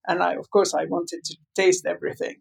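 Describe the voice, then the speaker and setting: A woman's voice: on the low side, level tone, a little fast, creaky, husky. A woman in her seventies from Lebanon, talking at length as an interview guest, meandering through her answers with many fillers and restarts. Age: 70s. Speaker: guest, food writer. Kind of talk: interview over a call.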